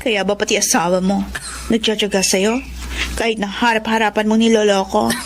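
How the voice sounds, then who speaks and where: A woman with a Filipino accent acting a flirtatious, adoring mistress, sultry and flattering, in a radio drama. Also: medium pitch, moderate pace, slight background noise, close to an everyday voice. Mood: flirtatious, contented.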